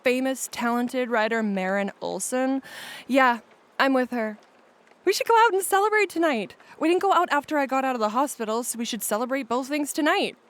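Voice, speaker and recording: A woman playing an affectionate, excitable young partner in an audio play. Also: sits high, fairly quick, natural voice. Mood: proud, gushing.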